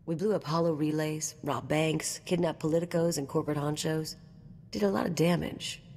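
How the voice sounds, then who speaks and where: A woman's voice: low voice, fairly even intonation, moderate pace, darkish tone, in a large hall. An actress playing a brash, swaggering anarchist mercenary woman in a video game, with casual, teasing, slangy talk. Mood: reflective, wry. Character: brash, cocky female anarchist mercenary companion.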